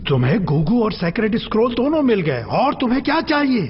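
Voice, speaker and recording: A fussy old cartoon pig master, portly, stern and quick to scold. The voice is high for a male and moves about a lot in pitch. Music plays under slightly muffled audio. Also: very animated. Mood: exasperated. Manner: scolding, exasperated, preachy. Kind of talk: animated film dub acting.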